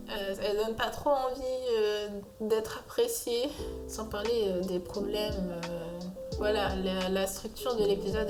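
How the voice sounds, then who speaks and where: A young woman talks through her review in a relaxed, unscripted way, stumbling and restarting often, her pitch sitting right in the middle. Loud background music runs under her voice. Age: young adult. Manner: hesitant, many filler sounds and restarts. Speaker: video essayist reviewing a cartoon. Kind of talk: casual spoken review commentary. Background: music playing.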